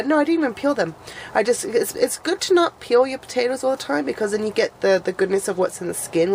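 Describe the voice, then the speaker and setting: A woman's voice: medium pitch, a little hiss or hum, rough recording. A woman vlogging in casual chat, her voice a bit nasal and sniffly from a cold, rambling and restarting her sentences.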